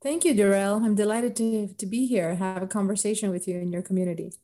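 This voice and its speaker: A woman's voice: mid-range voice, steady tone, fast talker, warm tone. Woman guest with international Spanish-tinged accent. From grew up in the United States, Bolivia and Cuba. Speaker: podcast guest. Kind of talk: casual conversational interview.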